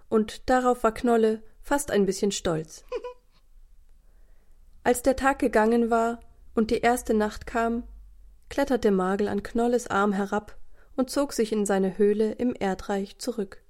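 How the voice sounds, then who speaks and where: A woman narrating a scripted children's story as the storyteller, in an expressive, deliberate way. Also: medium pitch.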